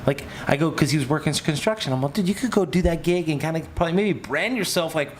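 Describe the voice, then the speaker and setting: A man's voice: a little high, quick pace. Male podcast host, casual and pushy, swears constantly, faint room hiss behind him. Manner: excitable, swears a lot, talks over others. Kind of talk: casual chat.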